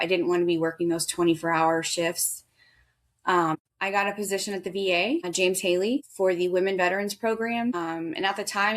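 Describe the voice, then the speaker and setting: A woman in her thirties, a guest in an interview, talking in a chatty, energetic way with a big voice and often quoting herself. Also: fairly low pitch. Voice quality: loud, big voice. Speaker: guest, veterans outreach worker. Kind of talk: casual interview talk.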